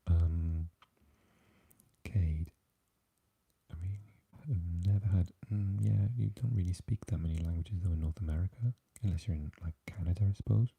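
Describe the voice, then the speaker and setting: A man with a Swansea Welsh accent, chatting softly with live viewers and often dropping into an unvoiced whisper. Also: moderate pace, vocal fry, husky, poor audio. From Wales. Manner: mixes unvoiced whispering with low, deep speaking. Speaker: ASMR live streamer reading chat. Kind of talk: soft-spoken live chat with viewers.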